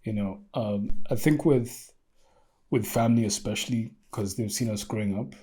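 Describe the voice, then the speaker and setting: A man's voice: fairly low pitch, normal speed, dark tone, slightly rough. A man hosting an interview, asking his guest questions in a hesitant, friendly way with many fillers. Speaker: podcast host.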